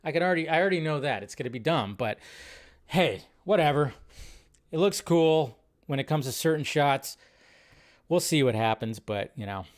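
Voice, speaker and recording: Rambling, higher-pitched male streamer. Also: expressive, moderate pace. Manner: rambling, filler-heavy, frequent restarts; reads viewer questions aloud then answers offhandedly. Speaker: host of a film news livestream. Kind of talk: casual livestream chat.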